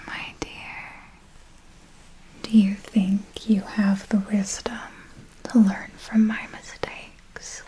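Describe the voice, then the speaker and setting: A woman playing an elegant, seductive vampire mentor in a whispered ASMR roleplay, speaking in a deliberate, intimate and formal way. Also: fairly high pitch, a little mellow, poor audio. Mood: grave, cautioning. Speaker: ASMR performer.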